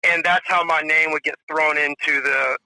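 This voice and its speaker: A man's voice: fairly high pitch, fairly even intonation, moderate pace, gravelly, pushed and bright, dry recording, rough recording. A man in his forties, a drummer guest telling rambling stories in a casual interview, full of "you know" and "if you will". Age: 40s.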